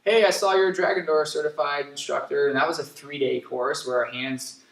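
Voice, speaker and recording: Fast-talking man in an echoey room. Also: fairly high pitch, fairly even intonation, vocal fry, a bit bright. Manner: fast, upbeat, jokey talker. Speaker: fitness gym co-owner, podcast guest. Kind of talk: casual podcast chat. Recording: echoey room, distant mic.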